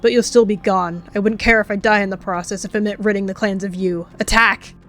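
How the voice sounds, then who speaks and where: A woman's voice: mid-range voice, fairly even intonation, a little fast, fairly bright tone, soft background music, close to an everyday voice. A woman voicing a fierce, righteous she-cat warrior who speaks in an icy, threatening, spitting way. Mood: defiant.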